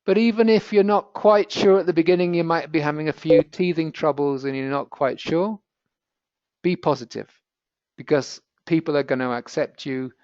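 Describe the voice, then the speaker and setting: A man's voice: on the high side, normal speed, darkish tone, dry recording, dull audio. A British man with a British accent, presenting an encouraging, patiently explained language lesson in a webinar. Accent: British English. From Britain.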